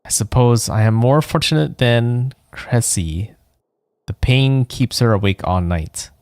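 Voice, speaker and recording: A man streaming a game, reading the part of a dying woman's despairing diary in a halting, sorrowful manner. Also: medium pitch, a little mellow, dry recording, clean studio sound, natural voice. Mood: weary despair.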